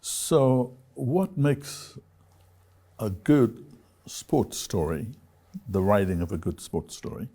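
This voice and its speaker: A man hosting a television interview, putting questions in a measured, deliberate way, phrase by phrase. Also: fairly low pitch, lively intonation, dark tone.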